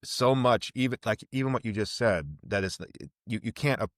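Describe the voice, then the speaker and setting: A man's voice: medium pitch, moderate pace, no room sound, clean studio sound. A man hosting a podcast, interviewing in a casual, conversational style.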